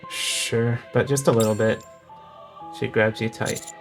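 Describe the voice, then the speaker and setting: A woman's voice: a bass voice, fairly even intonation, unhurried, vocal fry, rough recording. A woman streamer casually commenting and reading choices aloud over a game. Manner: laid-back, reads choices aloud. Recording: voice over loud game music.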